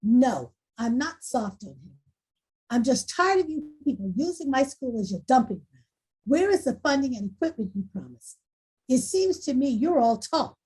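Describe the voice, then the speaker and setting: A woman playing an exasperated, defensive school principal in a table read, questioning forcefully. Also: high voice, very animated, moderate pace, husky, heavily acted, low-quality recording. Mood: indignant. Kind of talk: screenplay table read acting.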